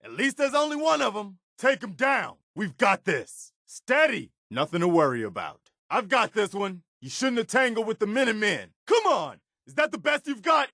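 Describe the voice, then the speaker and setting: A man's voice: sits high, sing-song, moderate pace, hardly any pauses, sharp tone, dry recording, exaggerated voice. A principled, battle-weary militia soldier from a video game. He is earnest and direct, with clipped military phrasing and a loyal streak. The sound is very dry, with no room around the voice. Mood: commanding, determined. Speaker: video game companion character. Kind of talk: scripted game dialogue and combat barks.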